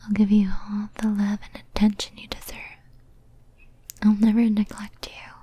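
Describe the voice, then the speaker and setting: A woman's voice: mid-range voice, completely flat delivery, slight background noise, natural voice. A woman playing a lonely, devoted, possessive female demon in an ASMR roleplay, whispering tenderly and intimately. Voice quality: soft breathy whisper. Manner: tender, clingy, intimate, slow and halting.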